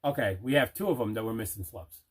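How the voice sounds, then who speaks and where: A man's voice: fairly low pitch, fast, husky. Middle-aged man showing off finds. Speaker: host of a movie collecting show. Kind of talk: casual unboxing show-and-tell.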